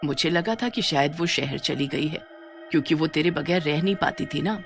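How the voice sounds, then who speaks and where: Casting: anxious, soft-mannered village mother for a cartoon, voiced by a female narrator, quiet and subdued. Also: deep voice, normal speed, soft background music. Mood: worried. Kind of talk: cartoon story character voice.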